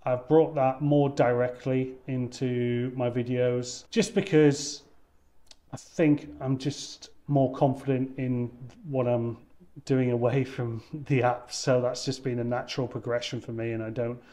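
A young man talking casually as a guest on a video call, wearing a headset, often pausing with ums and restarting his sentences. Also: medium pitch, flat delivery, dark tone. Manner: hesitant, many ums and restarts. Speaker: wine content creator guest. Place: indoors, room with wine shelves. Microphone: over-ear headset on video call.

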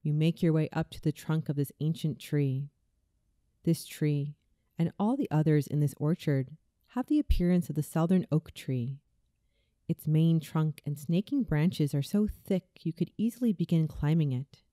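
A woman reading a scripted guided visualization in a soothing, deliberate way, as a meditation narrator. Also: sits low, warm tone, no echo, studio quality. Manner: soothing, slow, deliberate delivery.

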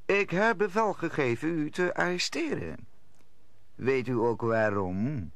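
A powerful cardinal in a radio drama. He talks slowly and formally, with a tense bright edge and big swings in pitch. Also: expressive, dry recording. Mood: stern. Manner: formal, deliberate. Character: authoritative, measured cardinal in power. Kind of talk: Dutch radio play acting.

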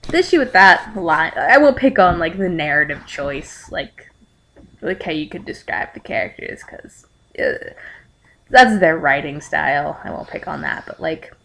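A young adult woman reading aloud and commenting casually, in a halting, rambling way with exasperated asides. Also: deep voice, sing-song, vocal fry, a bit bright, rough recording. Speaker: amateur vlogger reading fan fiction aloud. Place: living room at home.

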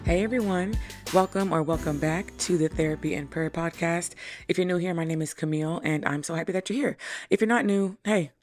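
A woman in her thirties with a hoarse, raspy voice, hosting a solo podcast and explaining ideas in a conversational way, speaking into a stand mic in a small room. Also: lowish voice, a little fast, darkish tone, soft background music. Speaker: podcast host. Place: small decorated room indoors. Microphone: studio mic on stand in front.

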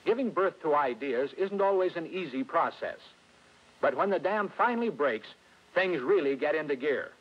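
A man's voice: on the high side, expressive, moderate pace. A middle-aged American man presenting scripted 1960s industrial film narration as a company spokesman, in a formal, salesmanlike way. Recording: dull, lo-fi vintage film soundtrack.

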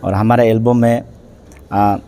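Slow, mellow-voiced middle-aged man. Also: on the low side, level tone. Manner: slow, many "uh" fillers. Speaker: album sponsor. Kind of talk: interview to a news camera. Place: church hall, indoors. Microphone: handheld news mics in front.

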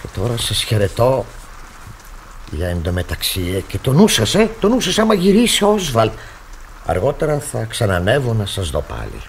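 An actor playing a pompous, naive middle-aged pastor in a radio play, effusive and easily flustered. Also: male voice, lowish voice, wildly swooping pitch, a little hiss or hum. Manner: effusive, sermonizing, stammering when flustered. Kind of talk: radio theatre acting.

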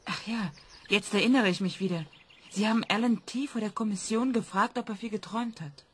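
An actress playing a level-headed female journalist in a radio play, asking calm, pointed questions. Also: medium pitch, fairly quick, husky, natural voice. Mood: probing. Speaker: interviewer for a publication.

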